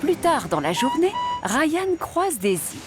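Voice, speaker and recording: A woman with a fairly high voice narrates a children's story. She is expressive and scripted, with music playing behind her. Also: takes it slowly.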